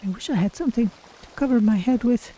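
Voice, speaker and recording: A woman voicing a curious, cautious female cat-folk adventurer in a game, musing aloud in measured, formal phrasing. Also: fairly high pitch, dark tone, breathy edge. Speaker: streamer voicing a game character. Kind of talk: in-character game playthrough narration.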